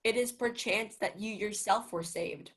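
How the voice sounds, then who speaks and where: A woman's voice: medium pitch, a little slow, breathy edge. A student actress playing a loyal, courteous male sea captain in a Shakespeare radio play. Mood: reassuring.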